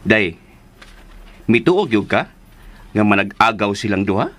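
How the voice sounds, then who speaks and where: An actor playing a teasing, gossipy, jovial older uncle who exclaims often in a radio drama. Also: male voice, lowish voice, very animated, measured pace, a bit bright, full character voice. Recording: clear background noise.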